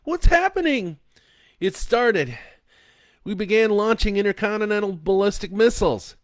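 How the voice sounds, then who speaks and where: A man's voice: very high voice, moderate pace, vocal fry, no room sound, clean studio sound, pitched above the performer's own voice. A man doing a frantic tearful mother. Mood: panicked. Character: frightened middle-aged mother and wife.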